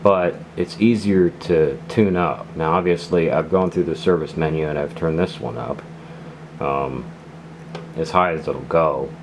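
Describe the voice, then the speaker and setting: Casting: low-voiced male hobbyist, casual hands-on demo talk, rambling and hesitant, clearly noisy recording. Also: unhurried. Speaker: radio hobbyist reviewer. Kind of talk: casual unscripted product demonstration.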